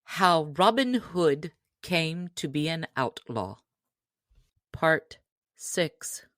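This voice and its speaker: Female audiobook reader, unhurried scripted narration, medium pitch, dry and close sound. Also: expressive, a bit bright, no echo, faint music behind.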